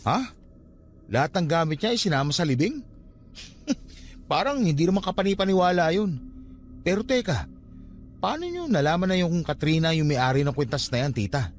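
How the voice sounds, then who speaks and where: High-pitched, expressive young Filipino nephew. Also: male voice, moderate pace, pauses often, a little hiss or hum. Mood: puzzled, skeptical. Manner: pleading, argumentative, expressive. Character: defensive, evasive young nephew, curious and stubborn. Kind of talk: Filipino radio drama acting.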